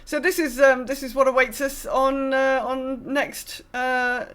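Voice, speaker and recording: Higher-voiced woman hosting a podcast. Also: level tone, measured pace, a bit bright, smooth. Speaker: podcast host. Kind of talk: casual gaming podcast chat.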